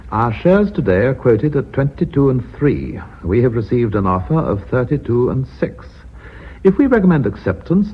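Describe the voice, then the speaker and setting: An actor playing an older, titled company chairman in a radio drama, speaking in a measured, formal, orotund way. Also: mid-range voice, breathy edge. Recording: dull, muffled radio recording.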